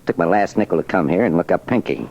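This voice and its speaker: An actor playing a curt, impatient small-time American crook, talking terse slang in a 1940s radio crime drama. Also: male voice, deep voice, animated, quick pace, vocal fry, a little mellow, heavily acted. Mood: impatient, pushy. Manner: terse, slangy tough talk. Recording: muffled old radio recording.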